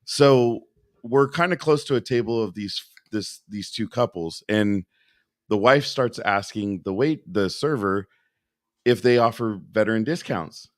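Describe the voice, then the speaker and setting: A man in his forties, co-hosting a podcast and telling stories in a casual, animated way, acting out other people's voices. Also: lowish voice, measured pace, no room sound, studio quality. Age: 40s. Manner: animated storyteller, acts out quoted voices. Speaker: podcast co-host.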